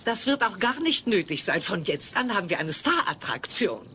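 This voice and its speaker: An actress playing a brusque, scheming freak-show owner in an audio play, theatrical and bossy. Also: female voice, mid-range voice, big pitch swings, moderate pace, pushed and bright. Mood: scheming, delighted. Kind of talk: German audio play acting. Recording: slightly noisy old cassette.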